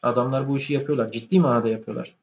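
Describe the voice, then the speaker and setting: A man chatting casually and colloquially about films, opinionated and animated. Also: mid-range voice, racing, highs cut off, a crowd in the background.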